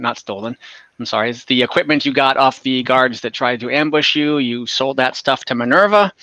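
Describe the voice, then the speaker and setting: A man with a bright, forceful voice narrates a tabletop fantasy game as its game master. His delivery is conversational and halting, with self-corrections along the way. The sound is dry and close. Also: mid-range voice, no echo. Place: online game session over voice and video call.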